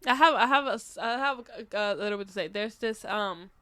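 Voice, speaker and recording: A woman co-hosting a podcast in casual chat, telling stories in an animated, slangy, sweary way. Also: medium pitch, brisk, clear, resonant tone, no room sound. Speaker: podcast co-host. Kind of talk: casual chat retelling a movie.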